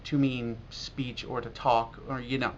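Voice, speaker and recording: An American man talking casually and reflectively in a video blog as a language learner, halting now and then with pauses. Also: medium pitch, flat delivery. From United States. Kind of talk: casual talk to camera about learning. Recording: dull lo-fi audio with clear noise.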